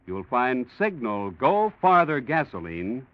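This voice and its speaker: A man announcing in polished, persuasive, emphatic 1940s radio style, pitching a sponsor. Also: sing-song, slow. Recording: muffled old radio broadcast.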